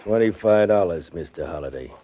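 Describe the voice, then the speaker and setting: An actor playing a shady flophouse desk clerk with smug, mock-courteous manners in a 1940s radio crime drama. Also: male voice, low voice, expressive, brisk. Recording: muffled vintage 1940s radio recording.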